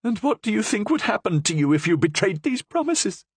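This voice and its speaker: A man voicing a half-mad, starving woman prisoner who cries out in desperate, shrill pleas. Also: big pitch swings, brisk, husky, no room sound, exaggerated voice. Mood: frantic pleading.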